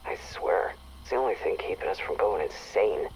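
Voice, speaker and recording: An actress playing an exasperated, sarcastic station chief griping into an audio log. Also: female voice, extremely low pitch, expressive, normal speed, darkish tone. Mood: wary, irritated. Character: exasperated, sardonic female CIA station chief dictating a log. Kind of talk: acted audio log monologue. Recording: faintly noisy radio-like audio log.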